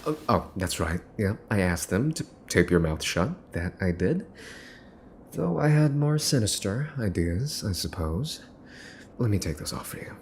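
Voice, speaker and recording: Guy acting as mob boss's son, deep voice, exasperated and mockingly polite, drawn-out asmr roleplay delivery, kinda echoey room. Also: big pitch swings, darkish tone. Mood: teasing, menacing. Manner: theatrical, sarcastic, deliberate repetition, sighing. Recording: roomy echo, faint background noise.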